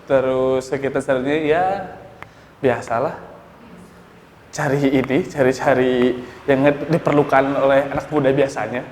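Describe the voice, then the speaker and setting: Joking twenty-something man, mid pitch, echoey room. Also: fairly even intonation, unhurried, long pauses, a little mellow, slight background noise. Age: 20s. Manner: joking, playful, cheeky. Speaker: interviewee. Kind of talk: casual interview answers. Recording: echoey room with long reverb.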